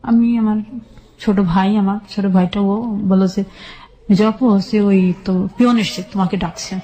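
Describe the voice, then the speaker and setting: Dark-voiced Bangladeshi woman recounting her life. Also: mid-range voice, fairly even intonation, moderate pace, rough recording. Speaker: guest telling her life story. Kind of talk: personal storytelling in an interview.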